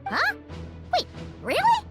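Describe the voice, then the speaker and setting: A woman voicing a tiny, excitable, childlike fairy companion in a video game, with a squeaky voice. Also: slow pace, full character voice. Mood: surprised. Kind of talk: cartoonish game voice acting. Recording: music behind the voice.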